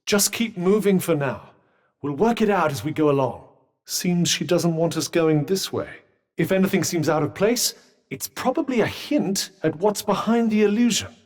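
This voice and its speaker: A man's voice: high-pitched, expressive, moderate pace. An actor voicing a sentient magical cuff in a video game, a dry, witty and protective male companion with a British accent and a formal, well-spoken, advising manner. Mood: measured advice. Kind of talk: scripted game dialogue. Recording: long reverberant tail on voice.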